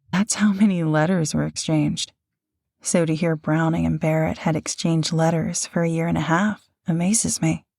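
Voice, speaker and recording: A woman co-hosting a podcast, telling personal reflections in a gentle, measured storytelling manner. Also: low-pitched, no echo, clean studio sound. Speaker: podcast co-host and voice actor.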